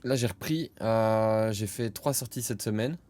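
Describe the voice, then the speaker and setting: A young man co-hosting a podcast in casual chat, hesitant with many fillers and bursts of loud laughter. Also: on the low side, a little slow. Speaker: podcast co-host.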